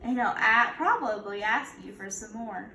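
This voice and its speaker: A woman's voice: medium pitch, normal speed. A woman, a kindergarten teacher, reads a picture book aloud to young children in an instructive way, prompting them with questions. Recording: echoey room, clear background noise.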